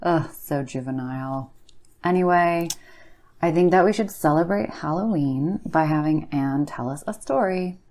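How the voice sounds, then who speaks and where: A woman's voice: low-pitched, measured pace, darkish tone. A woman playing a bored, pushy, petulant friend in a comic phone-call sketch, insistent and sarcastic.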